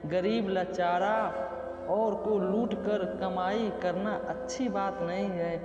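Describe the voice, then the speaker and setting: A man's voice: high-pitched, fairly even intonation, slow. A man narrating a scripted moral story in the first person. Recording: muffled, echoey reverb with loud background music. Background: music.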